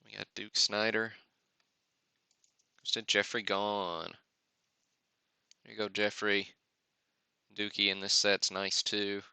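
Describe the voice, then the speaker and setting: A man's voice: on the low side, level tone, slow pace, vocal fry, long pauses, bright, forceful tone, clean tone, dry recording. A man hosting a live card-opening stream with casual, off-the-cuff commentary and muttered asides to himself. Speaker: host of a sports card break livestream.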